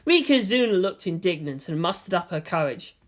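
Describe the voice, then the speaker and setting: Expressive woman narrator, lively pitch swings. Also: brisk. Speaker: fan fiction reader. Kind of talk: dramatic scripted narration with character voices.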